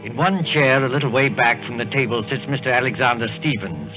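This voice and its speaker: A man as a deliberate radio narrator over music. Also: mid-range voice, lively intonation, natural voice, low-quality recording. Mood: measured suspense. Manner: deliberate, scene-setting. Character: measured male narrator of a suspense tale. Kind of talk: scripted old radio drama narration.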